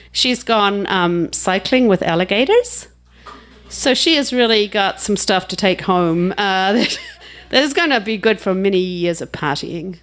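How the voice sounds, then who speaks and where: A woman with a New Zealand accent giving a chatty, jokey introduction at a live reading. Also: mid-range voice. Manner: chatty and jokey, laughing between remarks. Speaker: host introducing a reader.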